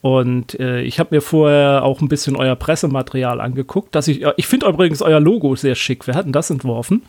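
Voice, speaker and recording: A man's voice: mid-range voice, fairly quick, a little mellow, no echo. Chatty man hosting a podcast, dry sound. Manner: chatty, hesitant with many fillers. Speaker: podcast host. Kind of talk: casual podcast conversation.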